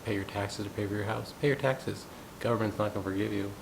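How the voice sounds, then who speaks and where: Low, flat-voiced man musing aloud. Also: gravelly. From United States. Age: 30s. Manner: thoughtful, unhurried, musing aloud. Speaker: gong practitioner sharing thoughts. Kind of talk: reflective personal monologue. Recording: steady low rumbling background noise. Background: rumbling noise.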